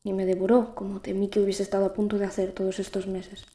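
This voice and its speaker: A woman's voice: medium pitch, completely flat delivery, fast, dark tone, roomy sound, natural voice. A young woman playing a lonely, frightened teenage girl who narrates her ordeal in an emotive audio drama. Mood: awed, subdued. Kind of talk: dramatic first-person audio fiction narration.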